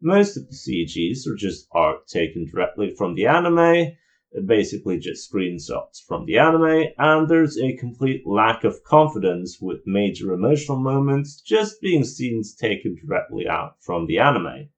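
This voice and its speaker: A man's voice: fairly low pitch, very animated, normal speed. A man giving an opinionated, explanatory video review in an informal commentary style.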